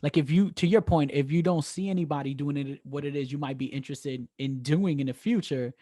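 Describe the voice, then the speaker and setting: A man's voice: fairly high pitch, fairly quick, warm tone, no echo, studio quality. A man with a Bronx accent co-hosting a podcast, enthusiastic and keeps checking in with "right?". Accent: New York, Bronx. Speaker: podcast co-host. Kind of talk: casual podcast interview.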